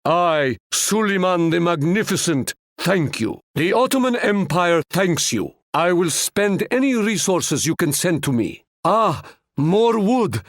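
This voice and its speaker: Proud Ottoman sultan, wise ruler, somewhat high male pitch, stately, formal, measured, video game character, dry studio sound. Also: animated, dry recording. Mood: courteous, gracious. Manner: stately, formal, measured, proverb-laden delivery.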